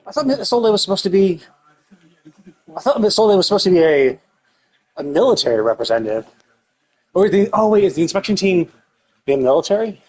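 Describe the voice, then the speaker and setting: A man doing casual live game stream commentary, rambling and thinking out loud with casual swearing. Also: high voice, very animated, fairly quick, pauses often. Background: faint game music.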